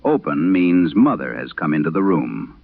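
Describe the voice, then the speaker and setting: Very deep, gravelly man telling horror stories. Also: very animated, no echo. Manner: grave, deliberate, dramatic phrasing. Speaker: host and narrator of a horror audio drama. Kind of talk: vintage radio horror storytelling, reading a letter. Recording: narrow-band vintage radio sound.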